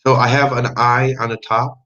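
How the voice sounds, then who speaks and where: A man's voice: mid-range voice, level tone, normal speed, bright, forceful tone. A man co-hosting a livestream test, chatting casually and easygoing. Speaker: livestream co-host. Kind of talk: casual chat. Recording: lo-fi livestream audio.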